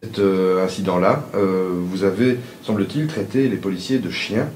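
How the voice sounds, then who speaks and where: Male interviewer, low voice, measured questions, echoey room with faint noise. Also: darkish tone. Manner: measured, probing.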